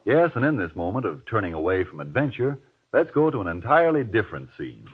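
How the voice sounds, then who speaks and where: A man announcing on 1940s radio, delivering formal links and an emphatic sponsor pitch. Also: very animated, fast talker, slight background noise. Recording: tinny, narrow-band old radio recording.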